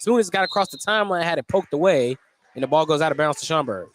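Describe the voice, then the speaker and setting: A man's voice: on the high side, animated, quick pace. A man doing live play-by-play basketball commentary as a radio announcer. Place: indoor basketball gym, courtside. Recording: live gym noise behind the voice. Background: gym noise, bouncing basketball.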